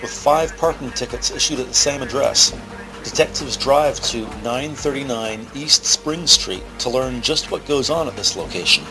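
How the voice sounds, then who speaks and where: A man narrating a scripted crime audio drama in the present tense, measured and descriptive. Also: mid-range voice, poor audio. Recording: faint music behind.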